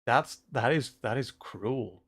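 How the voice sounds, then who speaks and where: A man's voice: medium pitch, slow pace, husky. Casual male streamer, hesitant and chuckly. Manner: hesitant, repeats words, chuckles often. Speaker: livestream host. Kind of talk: casual livestream chat.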